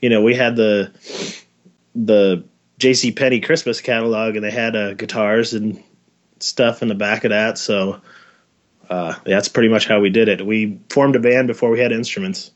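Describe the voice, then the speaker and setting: American guy from wyoming, lowish voice, band dude chatting in an interview, slangy n halting. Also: fairly even intonation, highs cut off. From Wyoming, United States. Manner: laid-back, slangy, halting. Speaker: band musician being interviewed. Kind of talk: casual chat.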